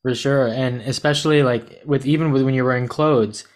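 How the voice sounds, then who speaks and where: A man's voice: mid-range voice, steady tone, moderate pace. Rambling man host asking questions. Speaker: podcast host. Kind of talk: casual interview questions.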